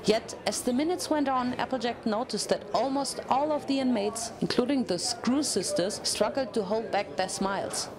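A woman's voice: a little high, normal speed, few pauses, crowd noise. A woman reading a story aloud as a dramatic, scripted narration. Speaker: narrator of fan fiction.

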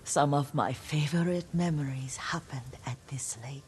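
A woman playing an elderly, devoted caretaker in a video game drama, speaking tenderly and haltingly, often hushed. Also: a bass voice, a little hiss or hum. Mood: nostalgic. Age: older. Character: elderly devoted female caretaker, gentle, wistful, stubborn.

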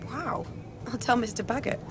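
An actress playing a troubled, frank and curious young student in a radio drama. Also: female voice, a little high, normal speed, natural voice. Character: troubled, curious young woman student who hears voices. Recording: music behind the voice.

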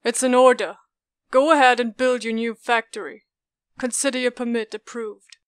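A woman's voice: a little high, steady tone, moderate pace, clear, resonant tone, no echo, clean studio sound, voice pushed up, close to an everyday voice. A woman voicing a cool, authoritative military commander in an audiobook, clipped and without emotion. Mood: cool, commanding. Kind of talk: audiobook character dialogue.

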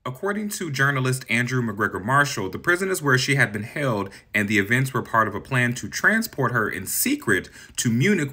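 A man's voice: on the low side, pushed and bright. A man in his thirties casually explaining facts in a vlog, chatty and dramatic, with gossipy asides. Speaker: video creator. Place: green-screen setup, indoors.

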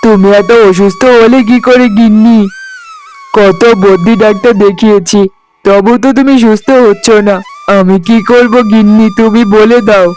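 A tender young village husband from a cartoon fairy tale, acted by a man. His voice is very high for a man, earnest and pleading, with faint music behind it. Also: steady tone, moderate pace, a little mellow, close to an everyday voice. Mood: worried.

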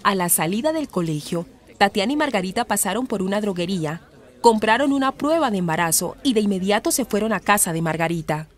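A woman's voice: medium pitch, animated, brisk. A woman with a Colombian accent reading scripted narration for a radio drama.

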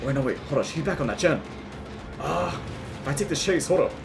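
A hoarse young man giving loud, profane commentary over his own gameplay, muttering one moment and yelling the next. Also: on the high side, animated, fairly quick, music in the background. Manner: swings from muttering to yelling, swears a lot. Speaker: gaming YouTuber. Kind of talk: excited live gameplay commentary.

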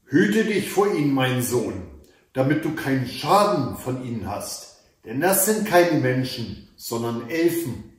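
A man's voice: medium pitch, big pitch swings, unhurried. Man reading as dramatic, scheming old queen mother. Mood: warning. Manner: dramatic, exclamatory, admonishing. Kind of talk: storybook read-aloud. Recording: very echoey room.

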